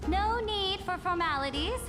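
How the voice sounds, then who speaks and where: A woman's voice: extremely high pitch, expressive, a little slow, in a large hall. An actress playing a proud, imperious female emperor in a video game, speaking in grand, commanding formal oratory. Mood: gracious, regal. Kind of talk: dramatic video game voice acting. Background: music.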